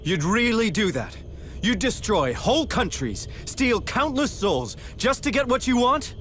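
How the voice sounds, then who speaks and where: An actor playing a principled young hero in a video game, earnest and confrontational. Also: male voice, high-pitched, big pitch swings, unhurried, fairly bright tone, a little hiss or hum, exaggerated voice. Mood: indignant, accusing. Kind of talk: dramatic video game voice acting.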